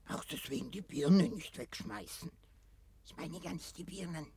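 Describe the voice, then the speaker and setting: Creaky, sly old village gossip. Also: female voice, fairly low pitch, moderate pace, mellow. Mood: sly, probing. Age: older. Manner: insinuating, probing, needling. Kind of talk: German radio play acting.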